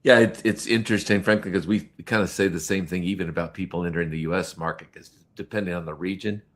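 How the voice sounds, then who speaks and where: A man with a fairly low voice hosts a business podcast. He talks in a loose, chatty way and often stops and restarts mid-sentence, filling the gaps with ums and uhs. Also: fairly even intonation. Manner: halting, many fillers and restarts. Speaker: podcast host. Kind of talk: casual interview chat.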